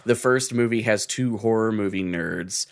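A man's voice: on the low side, moderate pace. A man co-hosting a podcast with wry, jokey and sometimes profane casual commentary. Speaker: podcast co-host.

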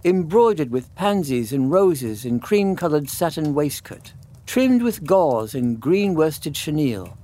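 A man narrating a classic children's story in measured phrases with dramatic pauses. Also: on the high side, very animated, dark tone.

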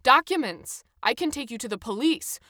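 A woman's voice: mid-range voice, lively intonation, moderate pace, a bit bright, no room sound, clean studio sound, lightly acted. A woman voicing a huge, aggressive young male Italian ticket checker who barks demands, in a story narration. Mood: aggressive bellowing. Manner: barking, bellowing demands.

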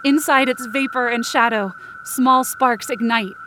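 A woman with a distinctly high voice narrates a fiction podcast in the first person. Her delivery is intense and theatrical, in short sentences, with a clear watery rumble behind her. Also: fairly even intonation, a little slow, fairly bright tone. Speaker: writer and performer of fiction podcast.

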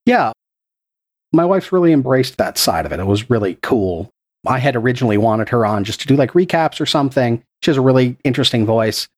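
A man's voice: mid-range voice, expressive, brisk. A man who produces a podcast and runs its game, answering interview questions in an earnest, explanatory way with a few restarts, speaking into a podcast microphone. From Texas.

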